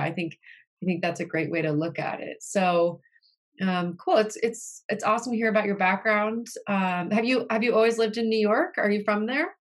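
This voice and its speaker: A woman hosting a casual interview, friendly and relaxed, speaking with fillers and small laughs. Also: mid-range voice. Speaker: podcast host.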